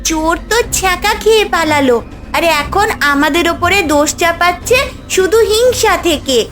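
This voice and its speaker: A woman's voice: very high voice, unhurried, fairly bright tone, soft background music. A woman voicing a brave, kind-hearted young village girl in a cartoon story, speaking earnestly and with care. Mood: indignant.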